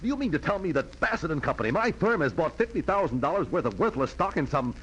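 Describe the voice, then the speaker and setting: A man's voice: on the high side, very animated, fast, a bit bright, husky, exaggerated voice. A man playing a stern, pompous businessman, clipped and haughty, in 1940s radio drama style. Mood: furious. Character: stern, indignant, pompous businessman older brother. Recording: noisy 1940s radio recording.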